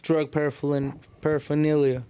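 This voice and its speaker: A man's voice: on the high side, level tone, a little fast, mellow, smooth. A man casually telling stories in street slang with some swearing. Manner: slangy, profane. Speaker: podcast participant. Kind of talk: casual storytelling chat.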